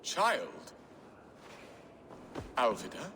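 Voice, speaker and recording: An actor playing an indulgent, sly lord in a video game, with an unhurried, familiar manner. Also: male voice, mid-range voice, wildly swooping pitch, gravelly, pushed and bright, heavily acted. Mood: stunned. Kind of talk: dramatic video game acting. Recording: reverberant room with faint noise.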